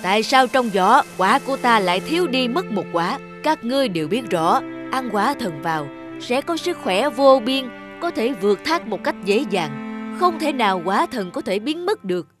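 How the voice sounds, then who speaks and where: A woman voicing a stern, dignified old male heavenly messenger in an animated fairy tale, formal and commanding. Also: on the high side, moderate pace, over music. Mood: stern, questioning.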